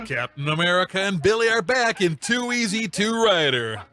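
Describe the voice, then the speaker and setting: Lively-voiced wisecracking man riffing a film. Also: high-pitched, normal speed, soft background music. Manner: wisecracking, mock-indignant quips. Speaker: movie riffer. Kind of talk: comedic riffing over a film.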